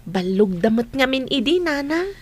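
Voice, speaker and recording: A woman's voice: sits high, very animated, moderate pace. A woman playing a polite, friendly young doctor in training in an audio drama. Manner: respectful, friendly. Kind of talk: Philippine audio drama acting.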